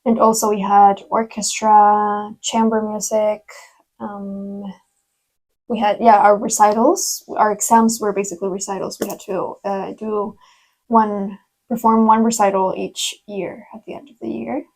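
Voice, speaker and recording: A woman's voice: mid-range voice, little pitch movement, measured pace, a little mellow, poor audio. A woman, a podcast guest chatting casually, giggling often and saying many ums. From Costa Rica. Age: 20s. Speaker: guest, music education student. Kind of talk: casual interview chat.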